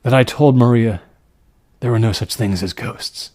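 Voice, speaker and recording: A man's voice: medium pitch, moderate pace, darkish tone, no room sound, studio quality, lightly acted. Man acting an earnest, lilting village stonemason. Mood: puzzled. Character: earnest, plain-spoken male village stonemason, proud and chatty.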